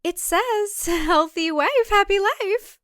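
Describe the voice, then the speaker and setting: A woman's voice: very high voice, expressive, slow pace, a bit bright, pitched above the performer's own voice, heavily acted. A woman voicing a sweet, submissive, eerily cheerful young farm wife in audio fiction, with a chirpy, placating, singsong delivery. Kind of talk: audio fiction character dialogue.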